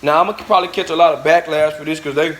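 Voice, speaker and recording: A man's voice: fairly high pitch, steady tone, quick pace, a bit bright. A man delivering a blunt, profane, preachy rant straight at his viewers in a vlog. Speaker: vlogger. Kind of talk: ranting monologue to camera.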